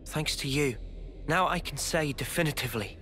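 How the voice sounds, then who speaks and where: An actor playing an earnest young male hero who speaks in a sincere, measured way in a video game. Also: on the high side, pushed and bright, faint music behind.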